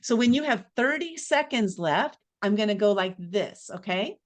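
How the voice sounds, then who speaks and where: A woman hosting an online networking event, brisk, upbeat and chatty as she gives tips and runs the open mic. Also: medium pitch, animated. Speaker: event host. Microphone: video call.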